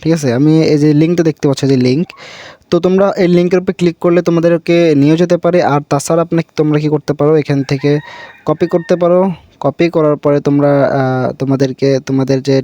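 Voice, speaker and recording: A man's voice: a little high, steady tone, quick pace, dark tone. A Bangladeshi man hosting an informal, unscripted app tutorial, repeating himself and often checking in with viewers in a familiar, brotherly way. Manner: repetitive, addresses viewers as brother, frequent check-in tags. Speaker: tutorial host.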